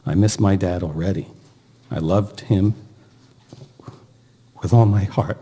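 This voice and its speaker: Older male eulogist, low and dark-toned, halting delivery with pauses. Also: slight background noise. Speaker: eulogist, brother of the deceased. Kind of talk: eulogy, partly read aloud.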